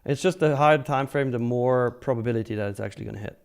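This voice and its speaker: A man's voice: medium pitch, fast, vocal fry, darkish tone, clear, resonant tone. A man hosting a live trading stream, chatting casually and informally as he comments and answers viewers, joking and swearing freely. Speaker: live stream host, futures trader.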